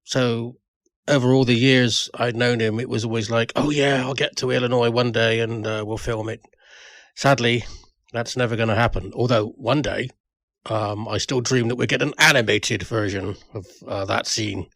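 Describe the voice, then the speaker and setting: A man's voice: mid-range voice, steady tone, normal speed, gravelly, a bit bright, dry recording. A man giving a personal spoken tribute to a friend, reminiscing in a self-deprecating way. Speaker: writer paying tribute to a friend.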